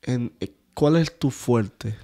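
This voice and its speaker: Male faith-podcast host with a mellow tone; interviews the guest and affirms often. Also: mid-range voice, takes it slowly. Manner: affirming with frequent amens.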